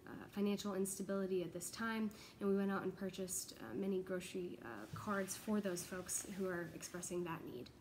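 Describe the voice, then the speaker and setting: A woman's voice: mid-range voice, a little mellow. Young American woman, faint room noise behind. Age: young adult. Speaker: church pastoral associate. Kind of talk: informal video update to fellow pastors. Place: large open indoor office space with exposed ductwork.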